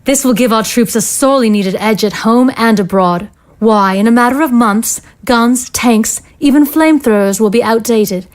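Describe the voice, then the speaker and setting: A woman's voice: on the high side, natural voice. A woman acting in an audio drama as a cold, ruthless scientist in authority, speaking in formal, sardonic phrases. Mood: triumphant. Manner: formal, sardonic, measured wording.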